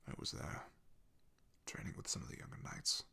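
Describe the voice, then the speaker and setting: A man playing a solemn, haunted, devoted knight in an ASMR fantasy roleplay, speaking haltingly in a husky, gravelly half-whisper. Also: lightly acted. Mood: wistful, somber. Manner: hesitant, halting, confiding in hushed tones. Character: loyal male knight, solemn, haunted, devoted to his princess.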